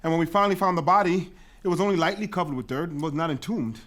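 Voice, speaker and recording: Jittery messenger guard male actor, stage tragedy: higher-than-average male voice, hedging, deferential and pleading. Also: fast, a bit bright, lightly acted. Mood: nervous. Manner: hesitant, pleading, evasive. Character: nervous, hedging guard messenger serving a harsh king. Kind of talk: dramatic reading of Greek tragedy.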